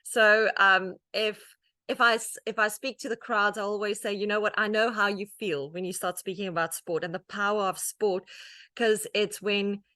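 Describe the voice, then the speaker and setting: A middle-aged woman from South Africa speaks with an Afrikaans accent, giving a heartfelt testimony talk. Her voice sits at an ordinary pitch and sounds close and dry, with no room echo. She talks earnestly, stumbling into small restarts and livening up when she tells a story. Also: normal speed. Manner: earnest and conversational, many restarts and "um"s, animated when telling stories. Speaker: guest speaker, author. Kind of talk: personal testimony talk to an audience.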